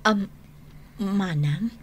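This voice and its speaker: An actress playing a shy, flustered younger woman who speaks haltingly in an Ilocano radio drama. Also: medium pitch, a little hiss or hum. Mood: bashful. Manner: halting, bashful.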